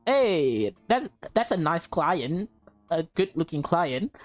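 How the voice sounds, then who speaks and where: A woman's voice: fairly low pitch, big pitch swings, slow pace, no echo, soft background music. A woman reading visual novel text aloud with casual reactions, stumbling now and then over words and correcting herself.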